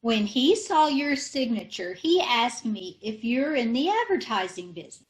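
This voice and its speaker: A woman's voice: fairly high pitch, sing-song. A woman playing a dotty, sweet-talking wife in an amateur old-time radio play. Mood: sweetly dotty. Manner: slow, dotty, syrupy sweet.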